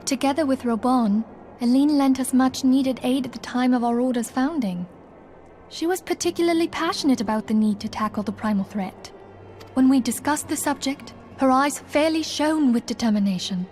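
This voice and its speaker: A woman's voice: high-pitched, normal speed, darkish tone, faint music behind, lightly acted. An actress playing a composed, earnest woman who leads an order, speaking formally and solemnly in a fantasy game. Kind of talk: scripted fantasy game dialogue.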